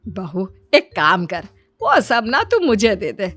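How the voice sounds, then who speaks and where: A woman's voice: medium pitch, huge pitch swings, slow, fairly bright tone, faint music behind, exaggerated voice. A woman voicing a greedy, crafty middle-aged mother-in-law in a cartoon story, bossy and wheedling. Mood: sly, wheedling.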